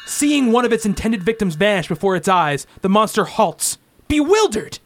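High-pitched, dramatic male radio-play narrator. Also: big pitch swings, moderate pace, fairly bright tone, slight background noise. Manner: dramatic, suspenseful. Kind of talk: melodramatic scripted comic-book narration.

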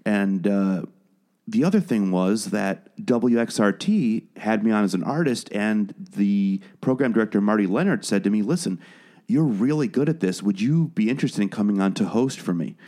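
A man's voice: lowish voice, expressive, normal speed, mellow. A man born in Wales, speaking with no accent, chatting as a guest about his music and radio career, often restarting and repeating words. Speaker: guest, musician and podcast producer. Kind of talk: casual interview conversation.

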